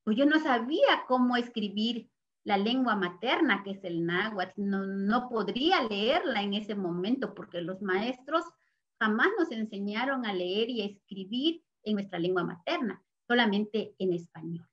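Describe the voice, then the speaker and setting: Slow-spoken older Mexican woman. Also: mid-range voice, animated, lo-fi sound. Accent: Mexican Spanish. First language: Nahuatl. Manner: measured and earnest, pausing with soft fillers. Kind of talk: slow, reflective interview testimony.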